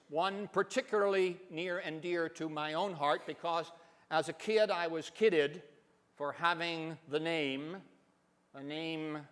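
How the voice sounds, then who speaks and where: A man giving a theatrical stage reading, with a deliberate, expressive storyteller's delivery. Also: on the high side. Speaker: professor giving a dramatic reading. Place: stage in a hall, indoors. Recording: reverberant hall.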